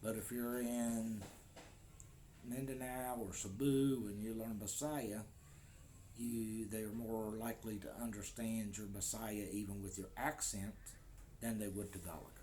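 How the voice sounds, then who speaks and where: A man's voice: lowish voice, fairly even intonation, measured pace, warm tone, a little hiss or hum. An American man in casual vlog talk to the camera, easygoing and joking, recorded at his home in the Philippines. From United States. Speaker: vlogger.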